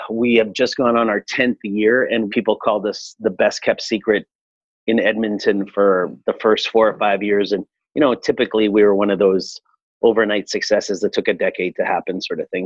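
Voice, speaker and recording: A man in his forties from Chile, a restaurateur answering interview questions in a relaxed, reflective way with frequent fillers. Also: on the low side, husky, dry recording, muffled recording. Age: 40s. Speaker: restaurateur guest.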